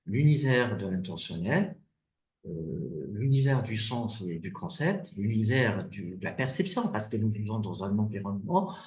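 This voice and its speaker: Tunisian-born guy talking philosophy, lively up and down pitch, roomy dull recording. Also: unhurried. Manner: animated, searching for words with many restarts. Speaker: philosophy author leading the discussion. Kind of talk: academic discussion. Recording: echoey, dull lo-fi sound.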